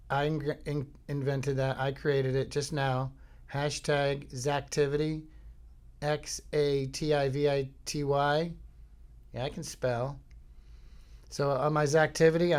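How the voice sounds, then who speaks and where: A man's voice: medium pitch, a little mellow, clean tone. A middle-aged man from Florida hosting his own podcast at home, talking in a rambling, jokey way and breaking into silly bits. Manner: jokey, self-interrupting, breaks into goofy sung bits and impressions. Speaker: podcast host. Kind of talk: rambling comedic monologue. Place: home studio.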